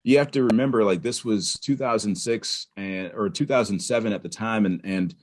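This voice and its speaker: A man from Pittsburgh, a podcast guest, telling his story in an animated, conversational way with frequent restarts. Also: lowish voice, normal speed. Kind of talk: conversational interview.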